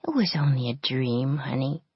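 A woman voicing a tough but kind orphan girl leader who speaks firmly and softly by turns, in a dull lo-fi storybook recording. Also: fairly low pitch, unhurried, gravelly, husky, no room sound, exaggerated voice. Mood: whispered. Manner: firm yet gentle, soft-spoken when comforting.

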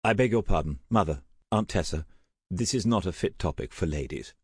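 A man playing a proud, easily affronted young gentleman in an audiobook, with a clipped, formal manner. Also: deep voice, expressive, brisk, slightly rough, dry recording, clean studio sound. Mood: restrained, polite. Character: proud, indignant aristocratic young gentleman, courteous to his mother. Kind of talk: audiobook character acting.

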